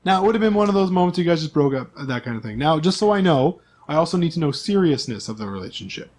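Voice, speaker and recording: A man's voice: fairly high pitch, very animated, fast, darkish tone. A man acting as game master in a casual tabletop session, prompting players with questions and repeating their answers back. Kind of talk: casual tabletop role-play session chat.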